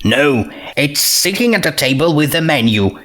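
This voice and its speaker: A man's voice: on the high side, expressive, normal speed, a bit bright, voice pushed up. A man voicing a brash, agitated young female pegasus pony with a scratchy voice and a blunt, insistent manner, in a storybook reading. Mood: uneasy.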